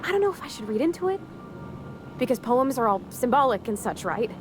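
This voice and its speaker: An actress playing a sweet, shy young ship mechanic in a video game, speaking with a rural Southern American accent in a folksy, hesitant, earnest way. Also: female voice, fairly high pitch, sing-song, brisk, music in the background. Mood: shy, hopeful. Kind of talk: video game character acting.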